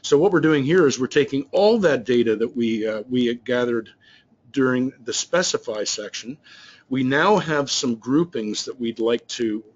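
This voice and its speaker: A man's voice: mid-range voice, moderate pace, lo-fi sound. A man working as a business trainer, talking through slides in a loose, rambling way with many restarts and fillers. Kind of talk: explaining slides in a recorded webinar.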